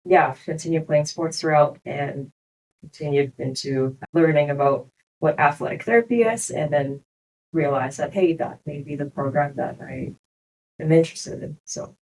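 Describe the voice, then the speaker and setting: A young adult woman from Hong Kong, speaking as a guest on a business podcast interview, reflective and unhurried, laughing often. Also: deep voice, a little mellow, poor audio. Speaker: clinic co-founder, guest.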